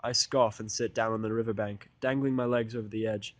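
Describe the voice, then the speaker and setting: A man's voice: on the low side, steady tone, fairly quick, dry recording, dull audio. A man reading a first-person story as an amateur audiobook narrator, in a casual, sardonic style.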